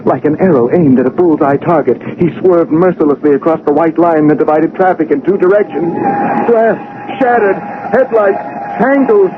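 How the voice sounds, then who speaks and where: An actor playing a brilliant, impatient young male scientist in a radio drama, speaking with intense, deliberate delivery. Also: on the high side, noisy background, lightly acted. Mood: grim, tense. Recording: muffled old radio broadcast, music behind.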